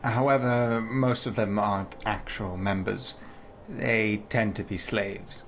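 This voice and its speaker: A man voicing a self-assured, learned male reptilian mage in a fantasy video game, with a formal, measured manner. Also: lowish voice, steady tone. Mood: measured, informative. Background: loud game music.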